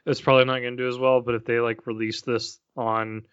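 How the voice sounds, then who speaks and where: A man's voice: medium pitch, little pitch movement, brisk, a bit bright, smooth, no room sound, muffled recording. A man co-hosting a casual podcast, agreeable and hesitant, with frequent ums. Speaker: podcast co-host.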